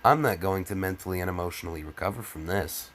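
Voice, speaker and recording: Low-voiced, sarcastic man reading with commentary. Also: fast talker, fairly bright tone, slight background noise. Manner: sarcastic, crude, theatrically exasperated. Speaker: comedic fan fiction reader. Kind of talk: mocking dramatic reading with exasperated ad-libbed commentary.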